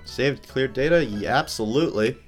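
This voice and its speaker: A man's voice: medium pitch, moderate pace, faint music behind. A man hosting a video game playthrough with casual chat. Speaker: gaming channel host.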